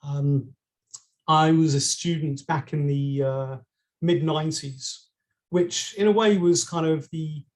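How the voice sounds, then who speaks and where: A middle-aged man with a London accent speaks as a guest on a conversational podcast. His voice sits a little high for a man, and he tells his story in a hesitant, unpolished way, full of ums and restarted phrases. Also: a little mellow. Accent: British, London. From England.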